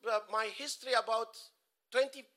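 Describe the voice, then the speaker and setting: A man in his forties from Ethiopia, with an Ethiopian accent, preaching a sermon in halting, simple English in short phrases for an interpreter. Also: very high-pitched, sharp tone. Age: 40s. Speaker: guest pastor.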